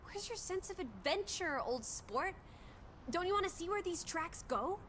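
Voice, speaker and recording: A woman's voice: very high-pitched, animated, moderate pace, a bit bright, slight background noise. An actress playing a playful, teasing young American woman from the 1920s in a video game, full of jaunty old-fashioned slang.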